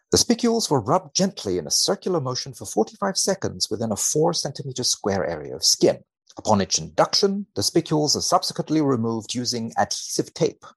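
A man who is a guest researcher on a podcast, reading and commenting on a study in a precise, measured, dry manner. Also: medium pitch, vocal fry, breathy edge.

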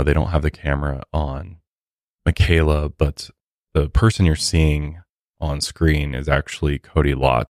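A man hosting a true crime podcast, telling the case and adding earnest, measured comments with a few fillers. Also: a bass voice, a little mellow, no room sound, clean studio sound. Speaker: podcast host.

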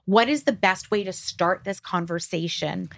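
A woman's voice: fairly low pitch, normal speed, creaky. A woman hosting a podcast, interviewing in an informal, affirming, conversational way. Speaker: podcast host.

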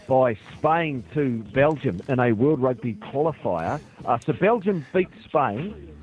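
A man hosting a radio breakfast show, briskly explaining a story step by step. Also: mid-range voice, highs cut off. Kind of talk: radio sports talk. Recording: thin radio broadcast audio.

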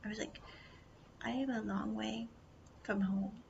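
Whispering woman with a gravelly edge. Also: a little high, steady tone, measured pace. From United States. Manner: speaks mostly in a hushed whisper; chatty, rambling, full of "I was like". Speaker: vlogger. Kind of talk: casual travel storytelling. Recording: dull lo-fi audio with clear background noise.

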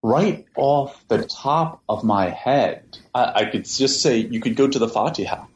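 A man answering interview questions at length, in a measured way, often repeating words as he thinks. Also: mid-range voice, lively intonation. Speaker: interview guest, translator. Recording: dull lo-fi sound, faint hiss.

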